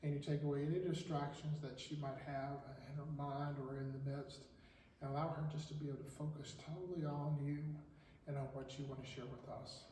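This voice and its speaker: A man prays aloud in a steady, earnest voice with a mellow, dark tone. The sound is very echoey and distant, picked up from far away in a church hall. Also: fairly high pitch, brisk, slight background noise. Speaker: pastor. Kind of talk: spoken prayer.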